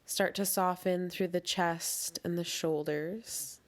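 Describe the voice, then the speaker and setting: Female meditation guide, unhurried and soothing, slightly low voice, calmly instructing through breathwork.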